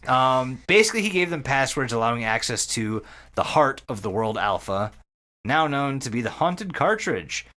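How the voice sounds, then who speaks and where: Male podcast host, animated pitch swings, casual sweary storyteller, enthusiastic about the material. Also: normal speed. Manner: sweary, enthusiastic, with repeated words. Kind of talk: casual storytelling recap of an internet horror story.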